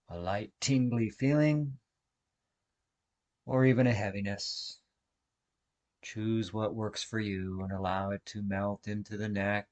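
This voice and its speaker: A man leading a guided meditation as instructor, speaking gently in short, measured phrases with long pauses between them. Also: lowish voice. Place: online video call session.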